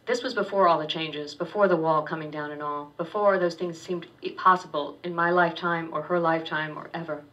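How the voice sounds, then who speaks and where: A woman author reading her first-person fiction aloud at a public university reading, steady and wry with small stumbles. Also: low voice, fairly quick, hardly any pauses. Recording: distant dull lo-fi recording.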